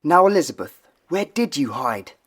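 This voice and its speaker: A woman's voice: low voice, sing-song, moderate pace, breathy edge, no echo, studio quality, full character voice. A woman playing an affectionately scolding teenage older brother in an audiobook dramatization. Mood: warm, curious.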